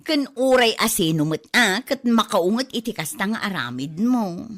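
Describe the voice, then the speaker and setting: A woman's voice: mid-range voice, lively intonation, normal speed, a bit bright. A woman playing a gossipy neighbour with a Filipino accent, emphatically disapproving in a comedy drama. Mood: disapproving gossip. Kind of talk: comedy radio-drama acting.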